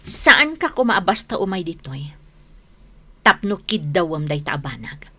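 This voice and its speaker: A proud, scornful new wife sneers at her rival in an Ilocano-accented radio drama. Her bright, cutting voice swings widely in pitch. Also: big pitch swings, a little slow, dry recording, heavily acted. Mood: taunting. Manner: sharp, taunting, scornful.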